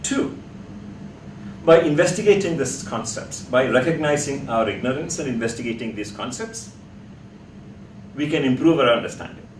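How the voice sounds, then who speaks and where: A man teaching a class in a deliberate, emphatic way, putting probing questions to his students. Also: medium pitch, expressive. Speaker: teacher leading a workshop class. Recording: echoey, noisy room, distant mic.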